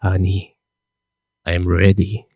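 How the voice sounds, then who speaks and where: A man acting in a radio drama as an earnest, tender older father in love, speaking haltingly. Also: deep voice, monotone, a little mellow, no echo, studio quality, soft background music, heavily acted. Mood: flirtatious, playful.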